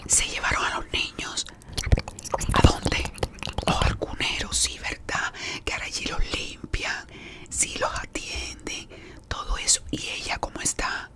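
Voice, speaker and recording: A woman's voice: a little slow, bright, forceful tone. Whispering woman in an ASMR roleplay. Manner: gossipy whispering, talking to an unseen caller and a client. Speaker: beautician in a roleplay.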